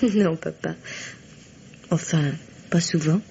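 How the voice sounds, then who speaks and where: An actress playing a gentle, candid young daughter in a French radio drama, soft, thoughtful and informal, with a slightly husky voice. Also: female voice, sits low, slow, slight background noise, heavily acted. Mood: hesitant, thoughtful. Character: gentle, candid young daughter, affectionate toward her father. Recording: dull old radio recording.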